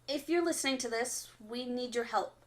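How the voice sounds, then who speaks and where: A woman playing an earnest, stern resistance fighter who delivers solemn, deliberate warnings in a radio drama broadcast. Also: a little high, close to an everyday voice. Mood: solemn, urgent. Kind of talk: audio drama acting as underground radio broadcast.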